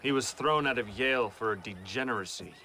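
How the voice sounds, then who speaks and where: A man's voice: medium pitch, a little fast, pushed and bright, slight background noise. An actor playing a dutiful, businesslike government agent who defers to his boss in a video game. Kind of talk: dramatic video game acting.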